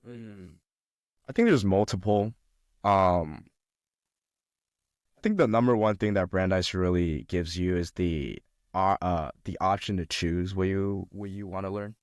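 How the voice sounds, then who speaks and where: A man's voice: low voice, a little slow, no room sound, clean studio sound. A young man from China, a podcast guest chatting casually about college life, with lots of fillers and restarts. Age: young adult. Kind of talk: casual conversation.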